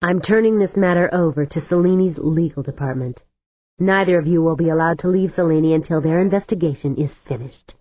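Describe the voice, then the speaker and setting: A woman's voice: mid-range voice, lively intonation, moderate pace, breathy edge, no room sound, pitched above the performer's own voice. A woman voicing a stern male leader who speaks in a cold, seething way. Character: stern male colony leader, barely holding back fury. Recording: tinny phone-like sound.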